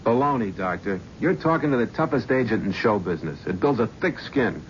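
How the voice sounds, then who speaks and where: An actor playing a tough middle-aged talent agent, blunt and dismissive. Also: male voice, fairly low pitch, animated, brisk, a little hiss or hum, lightly acted. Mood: defiant. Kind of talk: 1970s radio drama acting. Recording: dull vintage radio broadcast.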